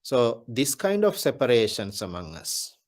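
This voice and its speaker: A Buddhist monk giving a Dhamma talk, speaking deliberately and repeating his key phrases. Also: mid-range voice, very animated.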